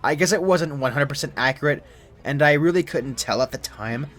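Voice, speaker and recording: Measured young man narrating. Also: a little high, a bit bright. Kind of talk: scripted creepypasta narration.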